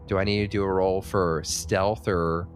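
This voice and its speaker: A man's voice: sits low, steady tone, normal speed, soft background music. A man playing a tabletop roleplaying game, casually narrating his character's moves and chatting.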